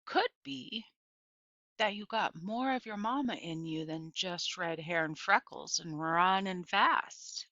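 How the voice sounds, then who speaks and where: A woman voicing a kind, wise old Southern woman from a children's storybook in a folksy, encouraging, unhurried way. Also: on the low side, bright, forceful tone, dry recording, dull audio, exaggerated voice.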